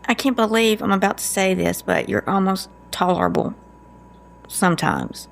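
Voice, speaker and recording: A woman playing a guarded, sarcastic female agent with a creaky voice and a folksy, teasing manner in an audio drama. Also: mid-range voice, big pitch swings, moderate pace, a little hiss or hum. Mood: wry, teasing.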